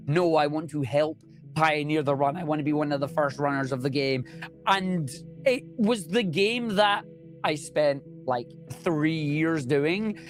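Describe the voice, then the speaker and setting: Chatty Scottish man, slightly high voice. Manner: enthusiastic, chatty, joking. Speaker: speedrunner guest. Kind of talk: casual interview chat.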